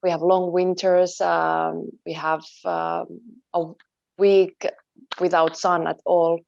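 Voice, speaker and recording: A woman from Finland, a native Finnish speaker, being interviewed about her work and talking thoughtfully, pausing and searching for words. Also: lowish voice, little pitch movement, vocal fry, darkish tone, no echo, highs cut off. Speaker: interview guest, photojournalist. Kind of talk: online interview.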